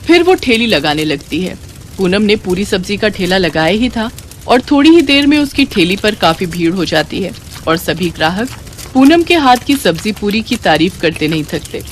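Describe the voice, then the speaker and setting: A woman narrating a cartoon story in an expressive, lilting storytelling manner. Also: medium pitch, moderate pace, a little hiss or hum.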